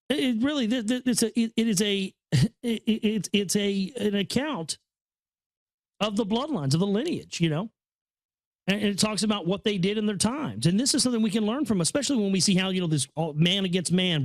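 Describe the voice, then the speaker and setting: Guy with a highish voice reading and commenting on a book, rambly, trips over words, super earnest, real dry sound. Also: no room sound. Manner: rambling, stammering, repeats phrases; awed, earnest. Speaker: reader and commentator.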